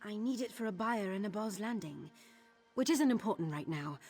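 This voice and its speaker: A woman's voice: mid-range voice, lively intonation, moderate pace, darkish tone, slightly rough, faint music behind. A woman playing an eager, nervy young female thief in a video game, chatty and conspiratorial. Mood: focused, eager. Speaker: game character, thief companion.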